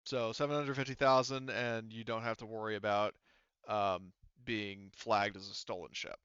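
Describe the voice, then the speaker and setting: A man runs a tabletop game in a smooth, bright voice. He thinks aloud as he makes casual rulings, often pausing and restarting. The sound is dry but a little muffled at the top. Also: fairly low pitch, flat delivery, no room sound. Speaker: game master.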